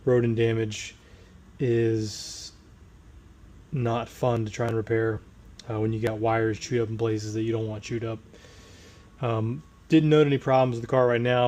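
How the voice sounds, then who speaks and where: A man with a hoarse voice, recovering from tonsillitis, casually talking through a transmission teardown as he works. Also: lowish voice, a little mellow. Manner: plain-spoken, working while talking. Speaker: transmission rebuilder. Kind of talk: casual shop walkthrough.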